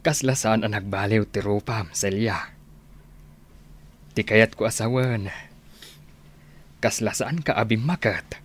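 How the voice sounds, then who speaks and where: A low-voiced Ilocano suitor. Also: male voice, slow, pauses often, breathy edge. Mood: tender, wooing. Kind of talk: Ilocano radio drama acting.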